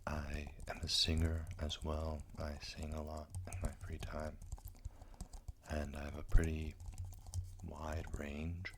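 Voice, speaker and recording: A young adult whispers slowly and softly in an extremely deep, raspy voice, rough from a sore throat, close to an ASMR microphone. The pitch barely moves and the words drift along at an unhurried pace. A steady hiss sits under the whispering. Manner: soft, slow, breathy whispering. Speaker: ASMR creator. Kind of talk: slow whispered ASMR chat.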